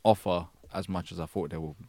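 Deep-voiced man giving hesitant football talk. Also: steady tone, dark tone, no echo. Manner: hesitant, filler-heavy, measured. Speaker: studio guest pundit. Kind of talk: casual football analysis.

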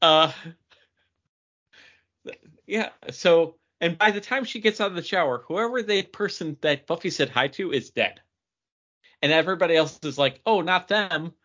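A man's voice: on the high side, normal speed, long pauses, a bit bright, muffled recording. A man co-hosting a podcast, chatting casually and tossing in dry, joking asides. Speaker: podcast co-host. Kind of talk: casual bantering podcast chat.

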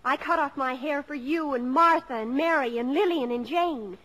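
A woman's voice: high voice, normal speed, a bit bright, rough recording. An actress playing a rebellious, melodramatic teenage daughter who also narrates her memories in an old radio drama. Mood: determined. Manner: earnest, melodramatic, defiant. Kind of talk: 1950s radio acting. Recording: old radio broadcast with music.

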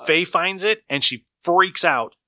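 A man's voice: high-pitched, measured pace, bright, forceful tone, no room sound, studio quality. A man in casual chat, laid-back and agreeable.

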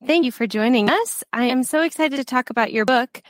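A woman's voice: sits high, dry recording, studio quality. Bubbly American woman podcast host. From United States. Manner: bubbly, effusive, quick to gush. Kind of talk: enthusiastic podcast interview.

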